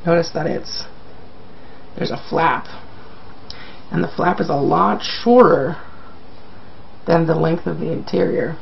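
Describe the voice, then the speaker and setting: Male vlogger, sick and very creaky, self-deprecating casual chat, slightly dull home recording with background noise. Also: on the high side, takes it slowly, long pauses. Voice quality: croaky. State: sick, croaky. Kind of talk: casual video update to viewers.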